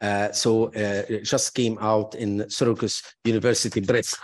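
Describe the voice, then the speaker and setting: A man moderating an academic panel, introducing speakers in a halting way with many fillers and restarts, adding warm praise and personal asides. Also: on the low side, flat delivery.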